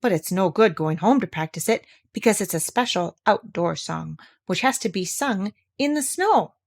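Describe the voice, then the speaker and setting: A woman voicing a good-natured, simple-minded male toy bear in a storybook reading, talking slowly and earnestly. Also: mid-range voice, animated, gravelly. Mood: cheerful, explaining.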